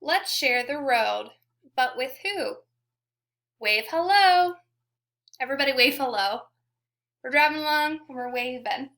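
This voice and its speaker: A woman's voice: sits high, expressive, unhurried, pauses often, fairly bright tone. A woman teacher reading a picture book aloud to young children, asking questions and giving frequent encouraging praise. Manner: encouraging, prompting, repeats praise to listeners.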